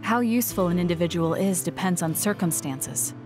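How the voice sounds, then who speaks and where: An actress playing a composed, blunt young soldier in an anime video game, speaking earnestly and firmly. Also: female voice, fairly low pitch, brisk, natural voice. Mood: earnest, concerned. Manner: earnest, direct, firm. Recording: loud music under voice. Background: game soundtrack music.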